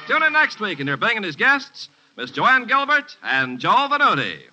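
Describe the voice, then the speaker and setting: An American man announcing on 1950s radio in a polished, emphatic sponsor-pitch style. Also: huge pitch swings, normal speed, sharp tone, soft background music. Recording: dull vintage radio broadcast.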